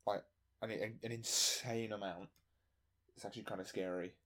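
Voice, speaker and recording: A man's voice: fairly low pitch, steady tone, normal speed, fairly bright tone, no room sound. A man from London chatting casually as a podcast co-host, cheeky and irreverent. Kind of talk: casual joking group chat.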